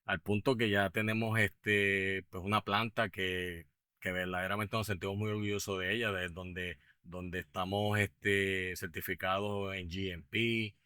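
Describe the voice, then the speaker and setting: Hesitant Puerto Rican man, smooth low voice. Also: little pitch movement, unhurried, pushed and bright, no room sound, studio quality. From Puerto Rico. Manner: hesitant, many fillers and restarts. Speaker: guest, business owner. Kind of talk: podcast interview.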